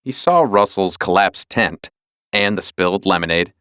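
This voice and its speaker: A man narrating a children's read-along storybook in an expressive, dramatic storytelling manner. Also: deep voice, normal speed, clear, resonant tone, no room sound, clean studio sound.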